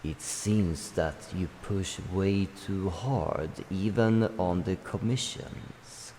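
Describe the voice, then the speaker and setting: A man voicing an ancient, wise and protective dragon in a fantasy game roleplay, speaking in grave, formal phrases with deliberate pauses. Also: sits low, dark tone. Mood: gentle, concerned. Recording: long cavernous reverb, faint noise.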